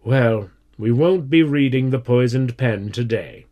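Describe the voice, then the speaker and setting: A mild, worried schoolteacher from a storybook as a man reads him. He speaks slowly and earnestly, with a pleading edge. His pitch moves freely as he addresses his class. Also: animated, lo-fi sound, lightly acted. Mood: grim, weary.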